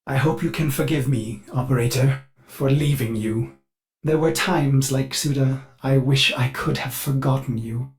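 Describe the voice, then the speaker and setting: A man's voice: medium pitch, steady tone, measured pace. A male actor voicing a devoted, anxious ship AI for a video game, speaking formally and theatrically through glitchy synthetic processing. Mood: sorrowful, devoted. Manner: theatrical, earnest, formal servile phrasing. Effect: synthetic glitchy robot voice processing. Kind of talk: video game character dialogue.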